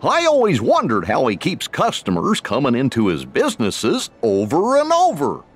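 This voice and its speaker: A man's voice: medium pitch, wildly swooping pitch, normal speed, husky. A man voicing a good-natured, dim-witted cartoon dog fellow in a folksy drawl. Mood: admiring.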